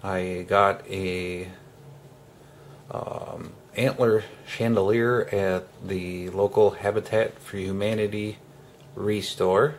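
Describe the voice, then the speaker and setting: A man giving a casual hands-on how-to, speaking haltingly in short phrases with pauses mid-sentence, as host of a DIY tutorial. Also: on the low side, steady tone, a little hiss or hum.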